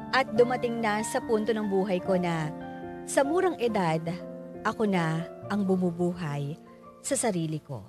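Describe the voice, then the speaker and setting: A woman's voice: medium pitch, natural voice. A woman acting a reflective young Filipino student who narrates her life story in a scripted radio drama. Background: piano music.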